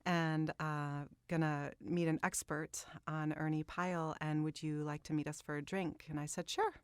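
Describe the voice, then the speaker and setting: A middle-aged woman chatting casually as a guest, retelling things in a playful, animated manner. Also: low-pitched, moderate pace, clear, resonant tone, no room sound. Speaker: actress, interview guest. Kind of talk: casual chat. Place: radio studio.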